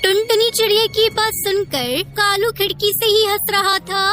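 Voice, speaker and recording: Female storyteller for kids' cartoon, tiny high voice, bright and forceful, scripted narration. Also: level tone, normal speed, soft background music.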